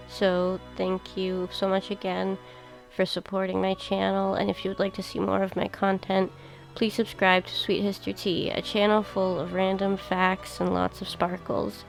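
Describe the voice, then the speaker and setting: A woman of middle pitch narrates a history video in a calm, measured way, like someone reading a prepared script. Her voice sounds a touch off because she is still getting over a cold. Soft music plays quietly underneath. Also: creaky, low-quality recording. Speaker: host of a history video channel.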